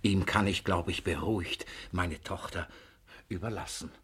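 Old miserly father, husky low voice, theatrical, domineering, muttering, classic radio play comedy. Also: fairly even intonation, moderate pace, fairly bright tone, full character voice. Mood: hushed, admiring.

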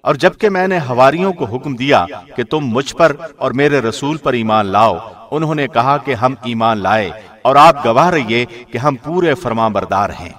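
A man reading a scripture translation in a formal, measured, solemn radio presenter's delivery. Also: mid-range voice, expressive, fairly bright tone.

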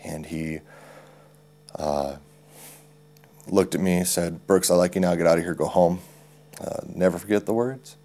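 An American man recounting a memory in a halting, conversational way. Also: low-pitched, fairly even intonation, mellow. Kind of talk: spoken recollection.

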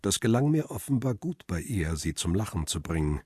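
A man's voice: deep voice, very animated, fairly quick, darkish tone, slightly rough, dry recording, studio quality, heavily acted. An actor playing a world-weary middle-aged paparazzo in a crime radio play, reflective and understated as he tells his story. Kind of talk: radio drama, first-person narration and dialogue.

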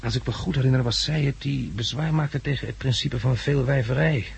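An actor in a 1960s radio drama playing a cautious, inquisitive male survivor, wry and conversational, with a slightly gravelly voice. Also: medium pitch, fairly quick. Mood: inquiring. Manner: conversational, questioning. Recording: noisy old 1960s radio recording.

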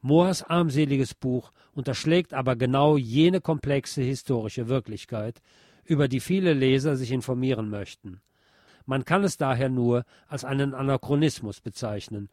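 A man narrates from a written text in a formal, literary register. His voice sits at a middle pitch and his delivery is measured. The recording is dry, with no room sound. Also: darkish tone, no echo. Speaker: narrator reading a history text. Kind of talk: scripted narration, reading aloud.

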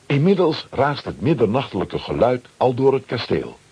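Very husky, animated male storyteller. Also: medium pitch, normal speed, dull audio. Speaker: narrator. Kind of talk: dramatic scripted storytelling for children.

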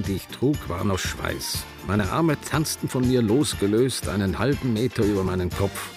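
Defiant older Austrian man, animated. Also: lowish voice. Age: 70s. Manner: frank, theatrical. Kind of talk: radio drama, intimate storytelling.

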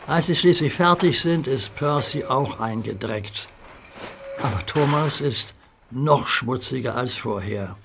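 A man narrating a children's audio drama in a calm, vivid storytelling manner. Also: mid-range voice, unhurried, vocal fry, background noise.

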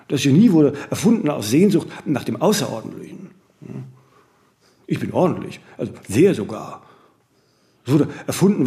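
Male actor for radio drama: eccentric, opinionated star, very lively intonation, emphatic run-on speech with tag questions. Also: mid-range voice, natural voice. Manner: fast-flowing, self-correcting, emphatic, peppering sentences with "ne?". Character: eccentric, vain, disciplined celebrity man, opinionated monologuist. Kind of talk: radio play dialogue, conversational interview answers.

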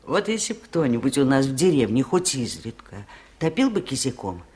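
A woman's voice: a bass voice, sing-song, moderate pace, a little mellow. An older woman playing a wise, lonely village aunt in a 1970s radio play, speaking in a folksy, musing way. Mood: matter-of-fact, plaintive.

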